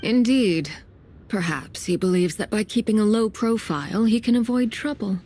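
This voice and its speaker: A woman playing a guarded, authoritative commander in a video game, speaking formally in a measured, serious way. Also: medium pitch, darkish tone, close to an everyday voice. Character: composed, guarded, authoritative female military commander.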